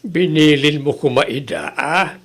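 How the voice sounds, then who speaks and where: A man playing a curious, fond old village father with an Ilocano accent, talking slowly in a comedy drama. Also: fairly high pitch, fairly bright tone, heavily acted. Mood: curious, amused.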